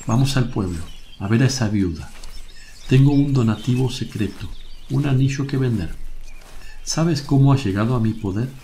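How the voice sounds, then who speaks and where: A man acting a serene, affectionate master teacher in a religious radio drama, gentle, solemn and reassuring. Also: medium pitch, moderate pace, lightly acted. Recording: noisy, birdsong ambience behind.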